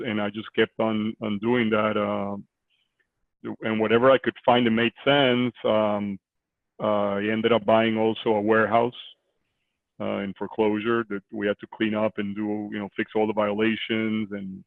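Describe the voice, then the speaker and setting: A man in his forties from Costa Rica, a real estate investor talking on a video call from a small office, halting with many fillers. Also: fairly low pitch, level tone, no room sound. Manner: hesitant, many fillers and restarts. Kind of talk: conversational interview. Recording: tinny phone-like line.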